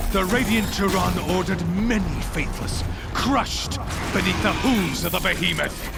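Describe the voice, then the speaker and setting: Gravelly fanatical cult leader. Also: male voice, sits high, full character voice. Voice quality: gravelly, grandiose preaching. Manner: proclaims and shouts to a crowd. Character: fanatical male cult leader, preaching and cruel. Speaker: villain. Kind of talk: video game cutscene acting.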